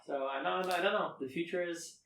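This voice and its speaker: A man's voice: high voice, a little fast, vocal fry, fairly bright tone, far from the mic, slight background noise. A young adult man co-hosting a podcast in casual, rambling, slangy and jokey chat. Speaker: podcast co-host. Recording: echoey room.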